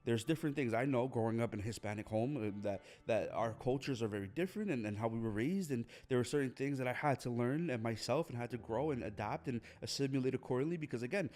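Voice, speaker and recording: A man's voice: medium pitch, quick pace, hardly any pauses, a little mellow. A young man hosting his own podcast, talking earnestly and motivationally, often repeating and restarting his phrases. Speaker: podcast host. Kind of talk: motivational monologue.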